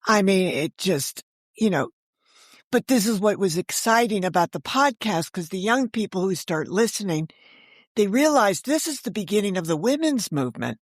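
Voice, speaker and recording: An American woman in her sixties telling stories conversationally as an interview guest, animated and emphatic, jumping between thoughts. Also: medium pitch, normal speed, no room sound, studio quality. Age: 60s. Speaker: guest, author and audio drama creator.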